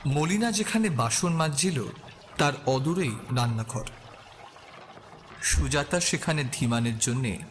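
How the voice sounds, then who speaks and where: Slow male suspense narrator, reverberant. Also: on the high side. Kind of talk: scripted suspense story narration. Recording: long reverb, clear background ambience noise.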